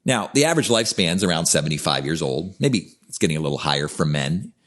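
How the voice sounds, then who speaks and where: A man's voice: deep voice, very animated, quick pace, a bit bright. A man in his fifties co-hosting a podcast, talking in an earnest, reflective way in casual conversation. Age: 50s. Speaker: podcast co-host, coach.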